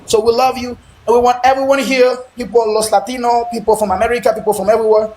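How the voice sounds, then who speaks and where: A man chatting loudly on a live video stream, laughing often and switching between English, Spanish and French. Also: high-pitched, slight background noise, low-quality recording. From Haiti. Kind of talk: boisterous live-stream chat.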